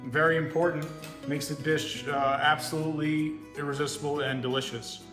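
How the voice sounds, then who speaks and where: An American man from Pennsylvania with a fairly high voice earnestly presents as a home cook. The room is echoey and guitar music plays underneath. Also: flat delivery, normal speed. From Pennsylvania, United States. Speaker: home cook sending a video message.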